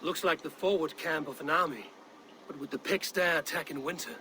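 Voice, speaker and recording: A bright, forceful Viking leader. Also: male voice, a little high, brisk, a little hiss or hum. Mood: urgent. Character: bold, forthright Viking raid leader, resolute and watchful. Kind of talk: cinematic video game dialogue.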